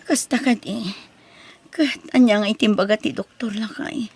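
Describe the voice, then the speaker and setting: A woman playing a plaintive married village wife in an Ilocano audio drama, with a husky, creaky voice. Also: a little high, moderate pace, darkish tone. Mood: worried. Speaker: drama voice actress.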